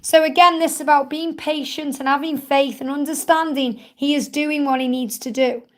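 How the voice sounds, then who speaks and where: A woman with a slightly croaky voice hosting a live spiritual card-reading stream, gently reassuring viewers and calling them honey. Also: sits high, steady tone, normal speed.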